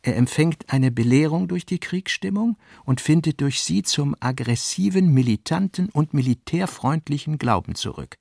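Guy narrating a radio play, creaky voice, very dry recording. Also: a little high, expressive, normal speed, few pauses, darkish tone, no room sound. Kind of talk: scripted literary reading in radio drama.

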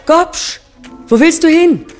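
A woman's voice: very high voice, slow. An actress playing a weary railwayman's wife in a 1950s radio play, addressing her husband intimately and dramatically. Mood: alarmed, reproachful. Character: weary, pleading railwayman's wife looking back on youth. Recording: 1950s radio recording over music.

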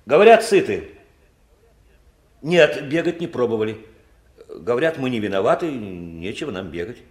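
A man playing a good-natured police lieutenant in a 1960s Soviet radio play, informal, coaxing and gently ironic. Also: medium pitch, very animated, moderate pace, close to an everyday voice. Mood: dutiful, brisk.

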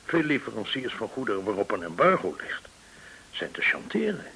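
An actor playing a courteous, shrewd, well-connected informant in a Dutch radio drama, speaking in a formal, deliberate way. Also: male voice, fairly low pitch, big pitch swings, vocal fry, sharp tone, slightly rough, heavily acted. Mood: measured explaining. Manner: formal, measured, deliberate explaining. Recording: hissy old radio recording.

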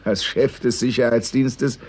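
A man's voice: medium pitch, steady tone, measured pace, darkish tone, breathy edge. An actor in a 1960s radio play, playing a condescending, commanding male state secretary who runs the security service. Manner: patronising, commanding. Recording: vintage radio recording, hiss and clicks.

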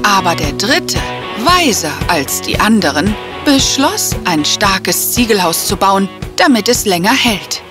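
A woman's voice: mid-range voice, big pitch swings, measured pace, over music. A woman storyteller reading a scripted fairy tale for children. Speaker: storyteller, narrator.

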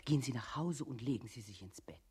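A man's voice: medium pitch, lively intonation, fast talker, darkish tone, slightly rough. An actor playing an impersonal, directive male voice that issues step-by-step orders in a radio play. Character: impersonal voice issuing orders to an employee. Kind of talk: scripted instructions in a radio play.

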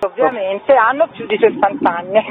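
A woman being interviewed as a festival curator, presenting an event programme. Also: fairly high pitch. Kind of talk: radio interview, promoting an event. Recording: tinny narrow-band phone line.

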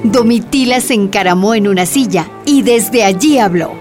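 A woman's voice: mid-range voice, normal speed. A woman narrator reading scripted radio drama narration. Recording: music behind voice.

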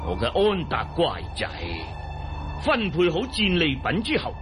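A boisterous old Mongol patriarch speaks Cantonese with theatrical relish. His delivery is slow and hugely animated, hearty yet patronising and ruthless. Music plays clearly behind him, as in an audio drama. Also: a little high. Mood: hearty, scheming.

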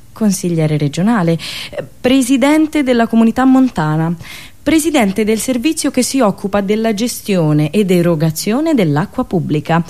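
A woman radio host delivering a theatrical, satirical monologue with ironic, deliberate phrasing and repetitions. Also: medium pitch, a little mellow.

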